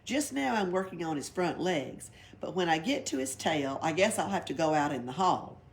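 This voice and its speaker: A woman's voice: lowish voice, expressive, moderate pace. A woman reading a storybook, voicing a boisterous, cheeky young girl who tells tall tales in dramatic exclamations. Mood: carefree. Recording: background noise, library read-aloud.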